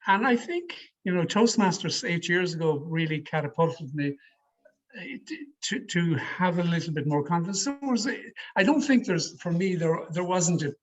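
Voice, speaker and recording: A middle-aged man with an Irish accent answering questions as a public speaking coach, deliberate and emphatic, over an online call. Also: high-pitched, muffled recording. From Ireland. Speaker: speaker leading a public speaking education session. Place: online video meeting.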